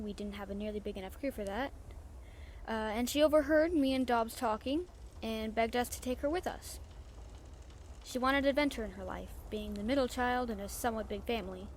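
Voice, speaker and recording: Woman acting a brash, high-voiced female pirate captain. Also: close to an everyday voice. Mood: casual, fond. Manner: casual, salty swearing, confiding. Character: brash, warm-hearted female pirate captain, fond and teasing. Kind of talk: audio roleplay acting, chatting to the listener. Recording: faint background noise and ambience.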